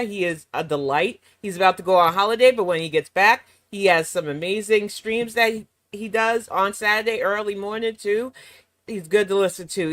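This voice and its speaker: Sassy slightly hoarse woman streamer. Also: mid-range voice, a bit bright, no room sound. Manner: sassy, mocking asides. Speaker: reaction channel host. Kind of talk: casual live-stream chat.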